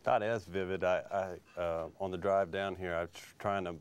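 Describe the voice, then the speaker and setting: A man in his forties from Louisiana, a former quarterback answering interview questions in a halting way, with many restarts, in a TV studio on a lapel mic. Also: sits low, fairly even intonation, a little hiss or hum. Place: TV studio set.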